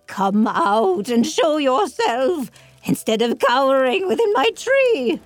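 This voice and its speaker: A sharp-tongued old grandmother, half-blind and stern, as a woman puts her on, scolds with a cackling edge. Her voice leaps up and down as she drags out each slow word. Faint music plays behind her in a dry, close recording. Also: high-pitched, sing-song, no room sound, voice pushed up, exaggerated voice. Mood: scolding.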